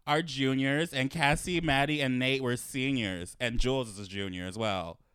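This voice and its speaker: A man's voice: mid-range voice, normal speed, a bit bright, clear, resonant tone, no room sound, clean studio sound. A man in his twenties co-hosting a podcast, laid-back and teasing, laughing often. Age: 20s. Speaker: podcast co-host. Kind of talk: casual chat.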